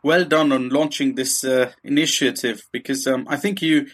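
A man from Kenya, a dentist guest on a podcast, recounts his past in a halting, conversational way. He pauses, restarts and laughs lightly as he goes. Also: medium pitch, steady tone, fairly bright tone. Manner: hesitant, many fillers and restarts, laughs easily. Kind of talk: casual interview storytelling.

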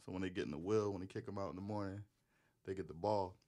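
A man's voice: deep voice, fast talker. A young man co-hosting a casual chat, telling slangy, joking stories. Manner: animated, joking, slangy storytelling. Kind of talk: casual banter.

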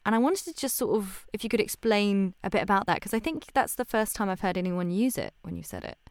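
A woman's voice: medium pitch, lively intonation, fast talker, darkish tone, no echo. A woman hosting a podcast in a conversational interview style. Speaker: podcast host.

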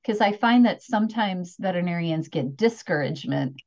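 A woman's voice: fairly low pitch, expressive, a little fast, darkish tone, muffled recording. A woman hosting an interview podcast, enthusiastic and encouraging as she asks questions. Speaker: podcast host.

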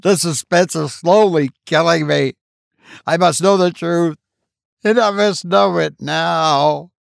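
A man reading an audiobook, voicing a distraught, vengeful wife with pleading, shrill outbursts. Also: slow, creaky, clean tone, no echo, studio quality, exaggerated voice. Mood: desperate. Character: distraught middle-aged wife, vengeful and desperate. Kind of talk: audiobook character voice.

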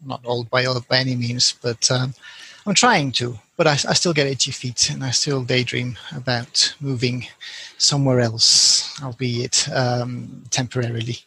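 A man's voice: mid-range voice, steady tone, measured pace, creaky, pushed and bright, husky, dry recording, rough recording. A middle-aged man raised in Colombia and England, answering interview questions hesitantly and repeating words as he thinks. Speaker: guest author.

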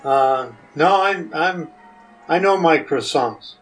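Older man, halting, slightly off mic. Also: on the high side, lively intonation, fairly bright tone, recorded from a distance, faint music behind, rough recording. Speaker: podcast guest answering questions. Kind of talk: casual interview answers.

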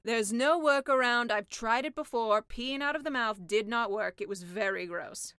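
A woman's voice: fairly high pitch, moderate pace, a bit bright, smooth, no room sound, clean studio sound. A woman voicing a brash, worldly sea witch with a blunt, matter-of-fact, slightly sly manner in a comic fairy-tale audiobook. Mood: blunt, exasperated.

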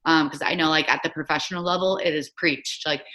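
A woman's voice: sits low, steady tone, fairly quick, pushed and bright. A young woman in her twenties, a former soccer player, chatting casually as a guest over a video call, with lots of "like" fillers. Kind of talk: casual remote interview.

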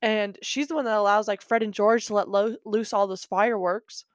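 A woman co-hosting a podcast, chatting casually with many fillers and frequent laughs, in a slightly deep voice. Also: fairly even intonation, moderate pace, no echo, studio quality. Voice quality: rambling, filler-heavy, laughs often; deeper voice. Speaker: podcast co-host. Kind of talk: casual chat.

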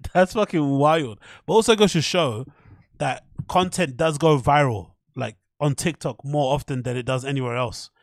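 Male podcast host, British, slightly high voice, dry close sound; slangy, sweary, off-the-cuff commentary. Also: normal speed, creaky, dry recording. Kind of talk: casual rambling commentary, reading out an interview and reacting.

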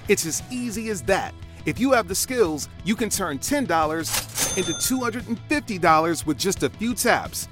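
A man delivers a scripted promotional read as an ad announcer. His voice is high, with faint music behind it. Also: lively intonation, normal speed. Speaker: advertisement announcer.

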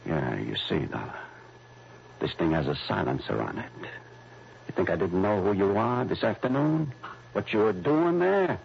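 Gravelly shifty crook. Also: male voice, lowish voice, expressive, moderate pace. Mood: sneering threat. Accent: American. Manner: sneering, tough. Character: shifty, menacing male crook, cornered and armed. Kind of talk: 1960s American radio crime drama acting. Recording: dull, faintly noisy old radio recording.

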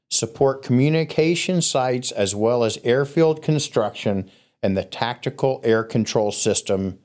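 A man recounting stories he gathered, in a casual, rambling way with fillers, and a scratchy throat that makes him cough. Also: fairly low pitch. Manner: relaxed, rambling with fillers and restarts. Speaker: guest storyteller. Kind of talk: casual storytelling on a podcast.

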